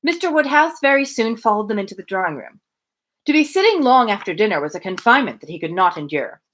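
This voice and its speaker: A woman's voice: medium pitch, expressive, quick pace, a bit bright. A woman hosting a live novel reading, speaking informally and thinking aloud with casual asides. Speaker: livestream reader and host.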